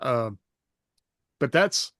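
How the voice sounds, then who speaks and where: Mid-pitched male co-host, thoughtful and halting, dry close sound. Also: lively intonation, sharp tone, dry recording. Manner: reflective, hesitant, laughs often. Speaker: podcast co-host, author. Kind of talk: casual chat.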